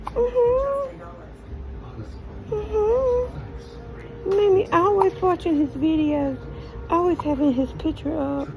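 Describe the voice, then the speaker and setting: Woman cooing slow, very high baby talk. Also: highs cut off, faint music behind. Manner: doting, repetitive, sing-song soothing.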